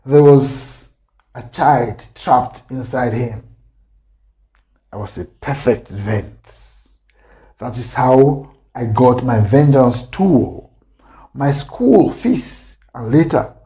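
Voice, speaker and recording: Man telling it as a slow, calm, vengeful girl. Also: mid-range voice, rough recording. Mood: calm, bold. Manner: slow, deliberate, halting phrases. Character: bold young girl, calm, vengeful, recounting her past. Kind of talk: dramatic first-person storytelling.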